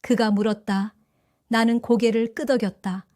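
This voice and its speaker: An older woman narrating her own story in an audio drama, calm and reflective. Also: a little high, moderate pace, no room sound, studio quality. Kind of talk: scripted first-person audio drama narration.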